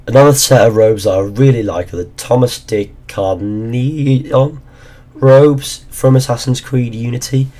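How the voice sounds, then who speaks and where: A low, mellow male voice, worn hoarse as he loses his voice. He rambles casually and drops the odd swear word. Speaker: gaming YouTuber. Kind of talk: casual ranking commentary.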